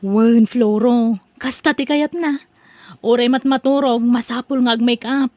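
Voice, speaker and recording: A woman acting a high-pitched young Ilocano daughter. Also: fairly even intonation, measured pace, darkish tone, dry recording, close to an everyday voice. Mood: hushed, explaining. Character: young adult daughter, questioning her parents. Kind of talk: Ilocano comedy audio drama acting.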